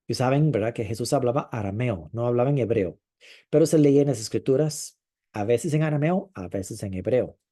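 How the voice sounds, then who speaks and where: Male preacher, warm dark tone, reflective delivery with pauses and drawn-out emphasis. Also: mid-range voice. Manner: thoughtful, with frequent hesitations and drawn-out stresses. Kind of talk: sermon.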